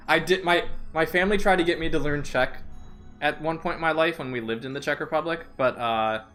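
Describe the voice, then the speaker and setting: A man's voice: on the high side, a little fast, fairly bright tone, faint music behind. A young man streaming a video game, chatting casually, reading game text aloud and tripping over names. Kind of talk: casual let's-play chat.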